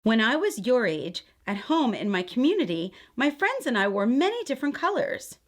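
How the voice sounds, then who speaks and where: A patient grandmother from a picture book, read aloud by a woman. She tells of her childhood in a reflective way, in a slightly high voice. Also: sing-song, normal speed.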